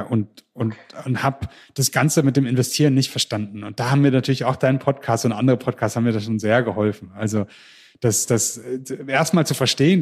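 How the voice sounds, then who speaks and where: A man from Germany, a podcast interview guest, telling his story in a lively way with frequent restarts and repeated words. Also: medium pitch, husky.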